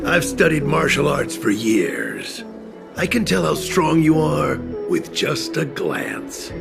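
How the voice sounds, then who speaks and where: A fanatical martial artist who leads a cult in a video game. His voice is very gravelly and slow, with a menacing, pompous delivery. Music plays clearly behind him. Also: a little high, wildly swooping pitch, exaggerated voice. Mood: appraising. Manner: pompous, deliberate, menacing zealot. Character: fanatical male cult leader, proud martial artist, former spearman. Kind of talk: dramatic video game voice acting.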